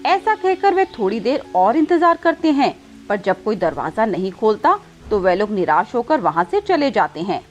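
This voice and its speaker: High-pitched female story narrator. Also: big pitch swings, moderate pace, dull audio, faint music behind. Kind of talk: scripted children's story narration.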